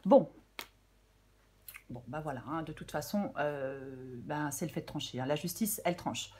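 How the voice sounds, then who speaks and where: A woman with a French accent, slightly hoarse, giving a casual tarot reading to viewers and thinking aloud with many fillers and restarts. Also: low-pitched, normal speed, darkish tone, slight background noise. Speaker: tarot reader.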